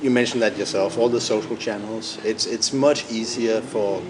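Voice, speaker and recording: Danish-accented man, lowish voice, noisy room. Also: steady tone, moderate pace. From Denmark. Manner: thoughtful, restarts phrases, explains steadily. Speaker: company founder and CEO, guest. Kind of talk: business podcast interview.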